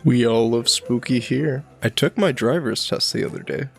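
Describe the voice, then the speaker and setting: A man's voice: medium pitch, normal speed, gravelly, natural voice. A man playing a stubborn, paranoid young driver telling his spooky tale in an audio drama. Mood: wry, paranoid. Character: stubborn, paranoid young male driving-test taker. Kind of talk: audio horror anthology acting. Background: music.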